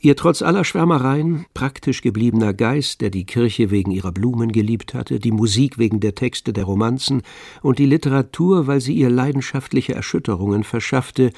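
A man giving measured, articulate literary narration for a radio play. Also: medium pitch, gravelly, darkish tone, dry recording, studio quality. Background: music.

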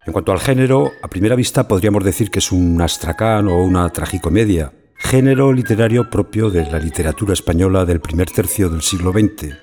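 A man with a low voice delivers a scripted literary introduction. He speaks as narrator and commentator, with music playing softly behind him. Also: fairly quick.

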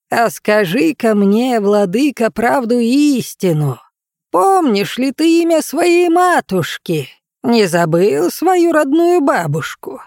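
Storybook old witch voiced by a woman: fearless, shrewd, raised pitch, slow folk-epic chant. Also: darkish tone, dry recording, studio quality, heavily acted. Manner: sing-song, drawn-out folk-epic cadence. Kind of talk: audio fairy-tale character acting.

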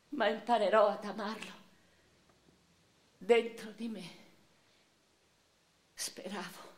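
An actress playing an educated, passionate and wounded eighteenth-century noblewoman, recalling her life in a measured, intense radio monologue. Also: mid-range voice, close to an everyday voice. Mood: resigned, sorrowful. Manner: measured, intense delivery swinging from hushed to impassioned. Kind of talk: theatrical first-person dramatic monologue for radio. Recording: roomy echo, faint music behind.

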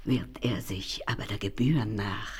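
A cunning old woman in a radio play, a go-between with a deep, husky voice. She speaks slowly and insinuatingly, slipping toward whispers. Her courtly, old-fashioned phrases coax while they quietly threaten. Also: heavily acted. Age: older. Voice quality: husky, breathy, dropping into whispers. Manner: slow, insinuating, ceremonious old-fashioned speech. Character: sly old female go-between, coaxing with veiled threats. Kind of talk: radio play acting in archaic German.

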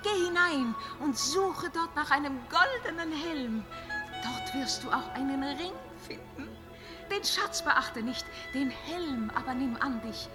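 A woman's voice: high-pitched, expressive, slow, over music. A woman voicing a talking forest bird in a fantasy radio play, a playful, knowing counselor.

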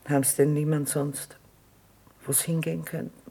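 Grave, even-toned peasant. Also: male voice, fairly high pitch, moderate pace, creaky, husky, rough recording. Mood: sombre. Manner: flat, sombre. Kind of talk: stage-style radio drama acting.

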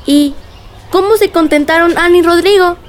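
A woman's voice: very high voice, normal speed. A woman playing a curious, naive young niece asking questions in a radio drama. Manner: inquisitive, naive.

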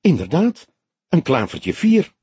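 A husky, lifted voice for an indignant woman under questioning, acted out by a male audiobook reader. She is icy and guarded, answering curtly and sometimes hesitantly, with lively swings in pitch. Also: slow, dry recording, heavily acted. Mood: surprised. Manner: sharp, curt, sometimes hesitant. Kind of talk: audiobook character dialogue.

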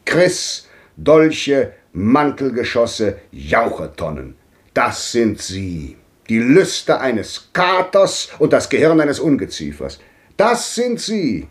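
An actor playing a grandly bitter old man in a 1950s radio drama, declaiming with sweeping swings between loud and hushed. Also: mid-range voice, wildly swooping pitch, slow pace. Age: older.

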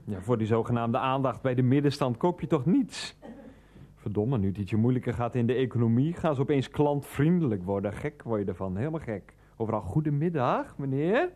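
A man's voice: medium pitch, sing-song, brisk, warm tone. An actor playing a grumbling, easily exasperated male customer in a comic radio play, blunt and irritable. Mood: ranting.

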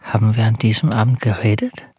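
A man's voice: on the low side, brisk, a little mellow, slight background noise, close to an everyday voice. A man playing a brooding, forbearing bookseller husband in pensive radio drama monologue. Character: brooding, forbearing married bookseller dwelling on his wife.

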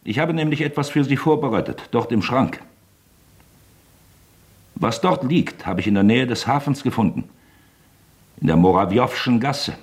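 A man's voice: mid-range voice, expressive, normal speed, long pauses, lightly acted. An actor in a German radio drama playing a wily, talkative, mock-genial male magistrate who toys with his suspect, insinuating and falsely chummy. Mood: falsely solicitous.

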